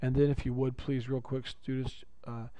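A man with a raspy voice teaching a geometry lesson, upbeat and chatty, repeating key phrases for his students. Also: medium pitch, flat delivery, normal speed, dark tone, dry recording. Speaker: math teacher. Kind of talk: recorded classroom lecture.

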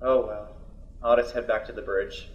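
A man's voice: on the low side, steady tone, moderate pace, close to an everyday voice. A man playing a grumbling, world-weary cargo ship pilot in an audio drama, talking casually. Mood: musing. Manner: casual grousing. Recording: dull, echoey sound with music.

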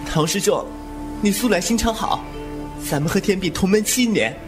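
A young man playing a glib, sharp-tongued, teasing martial-arts rogue in a wuxia audio drama, quick to mock. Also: high voice, animated. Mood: concerned. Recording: music under the voice.

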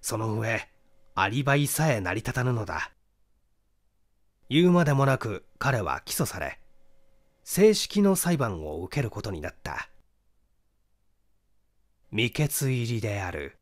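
Man reading a mystery novel, expressive intonation. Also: mid-range voice, measured pace, pauses often. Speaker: audiobook reader, small-theater actor. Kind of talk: dramatic scripted narration of a classic mystery novel.

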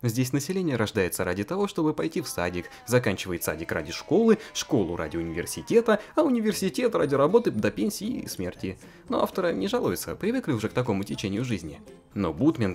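Brief: lively, giggly comic narrator with wildly swinging pitch. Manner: animated, giggly, swings into squeaky put-on voices. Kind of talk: comic amateur visual novel narration.

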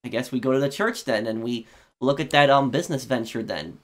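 A man's voice: mid-range voice, fairly quick. A man giving casual let's play commentary, hesitantly thinking aloud as he goes. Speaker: gaming YouTuber.

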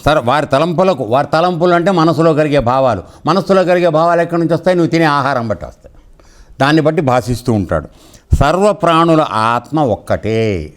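A male spiritual teacher speaks in a somewhat high voice. He explains teachings conversationally, as in a discourse with parables, and puts frequent questions to his listeners to check they understand. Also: animated, a little fast.

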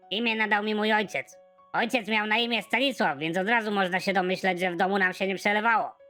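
Hapless male cartoon convict, acted by a man: earnest, gloomy monologue, high-pitched, over faint music. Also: sharp tone. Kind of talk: amateur animated comedy monologue.

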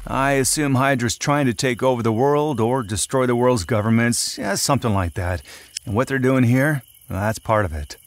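An actor playing a cocky, cold-blooded sniper who narrates a motion comic in a terse, cynical way. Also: male voice, medium pitch, moderate pace, close to an everyday voice. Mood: grim, focused. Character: hard-boiled Hydra sniper, cocky cold-blooded male killer. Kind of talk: first-person scripted narration of a motion comic. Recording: loud music under the voice.

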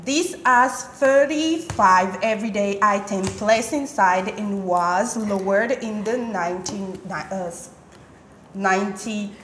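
A woman's voice: medium pitch, takes it slowly, a bit bright. A young woman co-hosting in casual chat, speaking playfully and expressively in simple short phrases. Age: young adult. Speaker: show co-host. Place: indoor studio at a table. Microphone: lapel mic. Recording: roomy echo, faint noise and clicks.

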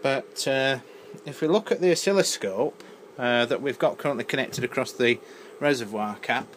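A man's voice: mid-range voice, normal speed. A man giving an unscripted, matter-of-fact technical demonstration as a repair technician at a workbench. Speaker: electronics repair technician presenting. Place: indoor electronics workbench. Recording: noisy handheld camera audio in workshop. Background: clear background noise.

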